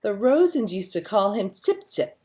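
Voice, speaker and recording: A woman reading a children's story aloud as a narrator, with expressive storytelling delivery. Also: mid-range voice, moderate pace. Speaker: storybook reader.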